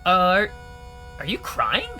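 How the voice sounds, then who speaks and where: A man voicing a perceptive, caring young male second mate who speaks earnestly and gently. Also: sits high, wildly swooping pitch, takes it slowly, faint music behind, heavily acted. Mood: puzzled.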